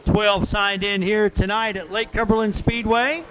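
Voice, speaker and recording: A man's voice: high-pitched, level tone, measured pace, a bit bright, slight background noise. A man working as a dirt track race announcer, calling out drivers, car numbers and lap times in live commentary. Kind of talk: live motorsport commentary over a PA, reading names and times.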